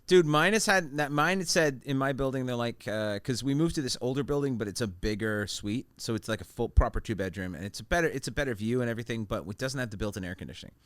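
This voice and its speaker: Man, podcast host, rapid animated chat, bright dry studio sound, casual swearing. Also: mid-range voice, clear, resonant tone, no room sound.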